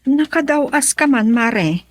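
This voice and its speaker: Ambitious matronly neighbor, Ilocano accent, radio drama. Emphatic, husky, recorded close and dry. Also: female voice, high voice, lively intonation, a little slow, no echo. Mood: welcoming. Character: better-off village friend lending money, brisk, ambitious, matronly.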